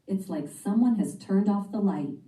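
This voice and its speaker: Eager curious storybook schoolgirl, read by a woman, mellow lowish voice, roomy distant sound. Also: lively intonation, moderate pace, natural voice.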